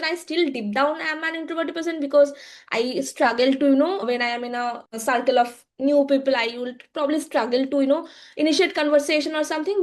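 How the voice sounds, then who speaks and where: A woman, a guest on a podcast interview, telling her story reflectively with frequent fillers and halting restarts. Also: high voice. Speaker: guest, social media agency founder.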